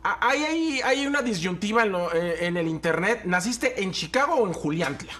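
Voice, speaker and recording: A man in his thirties with a distinctly high-pitched voice for a male speaker. He talks as a television interviewer, in a conversational hosting style. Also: expressive, normal speed, pushed and bright, a little hiss or hum. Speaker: interviewer, host. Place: indoor studio set.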